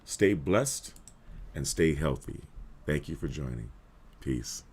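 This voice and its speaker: A man with a very low, hoarse voice chats loosely on a live stream, rambling a little and clearing his throat often. His talk is relaxed and unhurried. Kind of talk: casual live stream chat.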